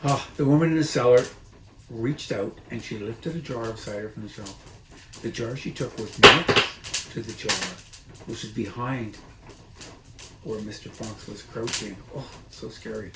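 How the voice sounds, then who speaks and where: A man's voice: mid-range voice, unhurried, heavy background noise. Man reading a storybook in an echoey room. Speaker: storybook reader. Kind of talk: reading a children's book aloud with informal asides.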